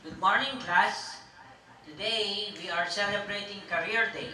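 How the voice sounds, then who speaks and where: Man acting as a cheerful high school teacher, sharp bright tone, slightly high voice, encouraging, echoey room from far off. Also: a little slow, a little hiss or hum, full character voice. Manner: encouraging, classroom-style delivery. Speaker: actor in a church skit.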